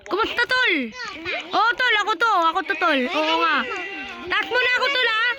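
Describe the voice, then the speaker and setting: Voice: very high voice, expressive, moderate pace. An exclamatory, slangy voice acting out an improvised horror skit as a series of phone calls, with music behind.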